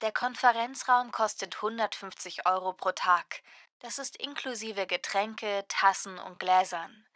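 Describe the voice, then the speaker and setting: A woman acting a courteous, helpful hotel receptionist in a scripted language-lesson dialogue, with careful, clear diction. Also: mid-range voice, a little slow, a bit bright, no echo, clean studio sound, natural voice.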